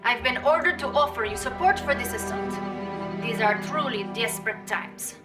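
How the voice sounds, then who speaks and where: An actress playing a cool, confident female military commander in a video game, speaking in a measured way. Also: fairly high pitch, lightly acted. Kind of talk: acted video game dialogue. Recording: distant, loud music behind.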